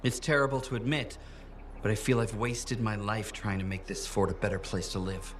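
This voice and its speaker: An actor playing a fussy, earnest safety officer in a fort. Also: male voice, on the low side, fairly even intonation, normal speed, faint music behind, lightly acted.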